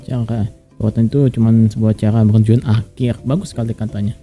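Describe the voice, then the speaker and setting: A man's voice: lowish voice, fairly even intonation, fast talker, mellow, soft background music. A man from Indonesia with an Indonesian accent, giving casual game commentary as a streamer.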